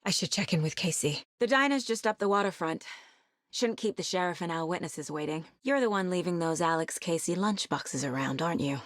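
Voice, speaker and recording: A serious, focused female federal agent in a video game, played by an actress, with a lowish voice and a very dry sound. She talks in a matter-of-fact, naturalistic way, like someone working through a case. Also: lively intonation, brisk, no room sound, close to an everyday voice. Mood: businesslike. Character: determined, focused female FBI agent and worried mother. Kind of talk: naturalistic game voice acting, inner monologue and dialogue.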